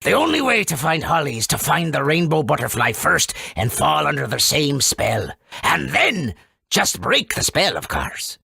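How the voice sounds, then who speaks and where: A man voicing an excitable, gruff little boss of small creatures in a video game, raspy and creaky. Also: a little high, moderate pace, no room sound, studio quality, heavily acted. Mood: scheming. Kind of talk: video game character acting.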